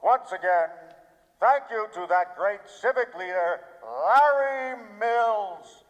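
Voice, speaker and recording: A man playing a pompous city mayor giving a drawn-out ceremonial speech in a radio play. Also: high voice, animated. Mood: grandly ceremonial. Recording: dull echoey hall public-address sound.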